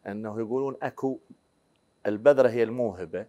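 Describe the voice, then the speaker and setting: A man's voice: fairly low pitch, fast talker, dark tone. A man in his forties with an Iraqi accent, a talk show host asking probing questions in a studio interview. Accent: Iraqi Arabic. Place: TV studio set, indoors.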